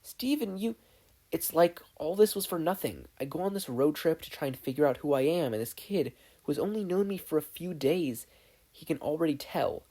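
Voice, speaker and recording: Upset teen boy for audio fan fiction, acted by a narrator: gentle, stammering, raised pitch, full of self-doubt. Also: lively intonation. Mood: distraught. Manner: hesitant, halting, stammering.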